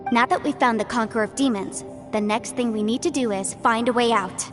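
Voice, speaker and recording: A woman voicing an earnest, practical young woman companion in a video game, speaking in a steady, purposeful way. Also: fairly high pitch, brisk, music in the background, close to an everyday voice.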